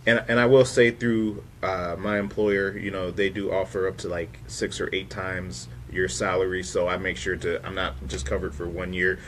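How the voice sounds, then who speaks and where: Low-voiced man in his thirties, casual host. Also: flat delivery, normal speed, few pauses, a bit bright, slight background noise. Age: 30s. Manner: informal, conversational. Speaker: show host. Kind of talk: casual interview chat.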